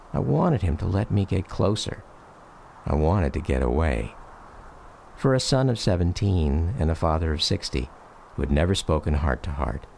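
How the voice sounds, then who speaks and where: An actor playing a grown son who gravely and reflectively narrates his hounded father's story in a radio drama, with an American accent. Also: deep voice, mellow, a little hiss or hum. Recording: radio drama with music behind.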